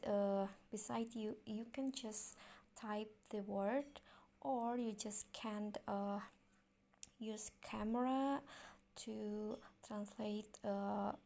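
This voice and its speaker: Slow, gravelly Indonesian-accented woman. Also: medium pitch, warm tone. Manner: hesitant, many fillers and restarts, unhurried. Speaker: tutorial host. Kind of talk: casual app tutorial.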